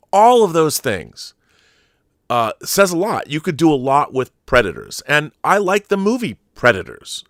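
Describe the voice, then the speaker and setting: A man's voice: medium pitch, a bit bright, no echo, clean studio sound. A middle-aged man hosting an unscripted film commentary show, talking in an emphatic, digressive, opinionated fan style.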